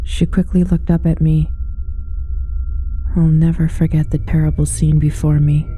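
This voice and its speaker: A woman reading a scripted horror story aloud as narrator, in a solemn, measured storytelling manner. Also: low-pitched, little pitch movement, dark tone, slightly rough.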